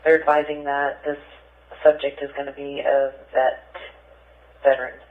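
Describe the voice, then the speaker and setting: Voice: medium pitch, completely flat delivery, normal speed, narrow, tinny audio. A police dispatcher passing calls and descriptions to units over a two-way radio, heard through a scanner.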